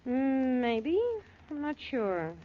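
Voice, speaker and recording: A tough young American gas station girl, blunt and slangy, pitched a bit high. The sound is a muffled 1940s radio drama. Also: expressive, takes it slowly. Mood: helpful. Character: plain-spoken, tough young woman running a filling station. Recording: dull 1940s radio recording.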